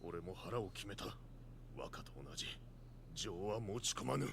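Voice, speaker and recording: An actor playing a grim, resolute adult warrior in an anime, speaking in a stern, clipped way over loud music. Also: male voice, lowish voice, animated, fairly quick, vocal fry, slight background noise. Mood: resolved.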